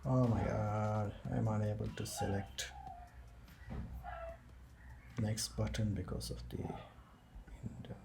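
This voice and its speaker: A man hosting a screen-recorded software tutorial, explaining each step as he clicks through it. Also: lowish voice, flat delivery, measured pace, vocal fry, over music. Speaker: tutorial host.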